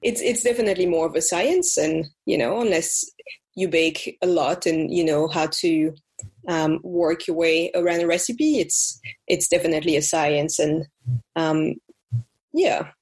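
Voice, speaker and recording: A woman with a French accent, a baking blogger chatting as a podcast guest, pausing often with fillers. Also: deep voice, slightly rough. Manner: relaxed, frequent "um" fillers. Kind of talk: casual podcast interview.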